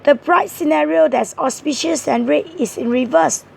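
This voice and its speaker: Hoarse high-voiced woman reading tarot. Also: normal speed, a little mellow. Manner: earnest, explaining cards point by point. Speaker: tarot reader. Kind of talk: tarot card reading monologue.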